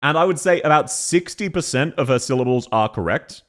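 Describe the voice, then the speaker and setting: A young Australian man streaming live, chatting casually with his viewers. His voice sits at a middling pitch but jumps around a lot, and he talks in a playful, rambling way, always drifting off topic. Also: expressive, fairly quick, a bit bright. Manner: playful, laughs often, chatty and easily sidetracked.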